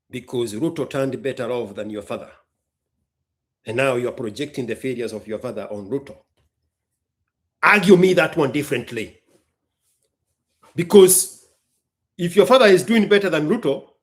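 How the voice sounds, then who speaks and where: A man hosting a live stream, challenging his viewers in a combative way. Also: medium pitch. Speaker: livestream host and rapper. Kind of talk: live stream talk mixing rap and opinion.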